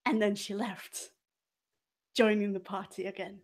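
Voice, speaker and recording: A woman telling a story in character as a haughty, scheming former cabaret dancer, theatrical and deliberate with dramatic pauses and swells. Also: medium pitch, steady tone. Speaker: player in a murder mystery role-playing game.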